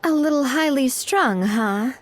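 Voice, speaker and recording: A woman's voice: sits high, expressive, a little slow. A woman playing a friendly, savvy young woman in a video game, explaining things in a conversational, informal way. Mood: explaining, thoughtful.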